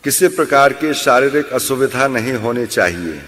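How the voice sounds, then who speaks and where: A man leading a guided yoga nidra session as an instructor, giving measured instructions with long pauses. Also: mid-range voice, lively intonation, a bit bright. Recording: long hall-like reverb.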